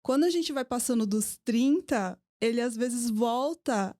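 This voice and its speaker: A woman's voice: a little high, expressive, a little slow, dark tone, dry recording, studio quality. A woman who grew up between Brazil and Japan, a podcast guest telling stories in a hesitant, laughing way. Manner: storytelling, hesitant, laughs often. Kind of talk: casual conversational interview.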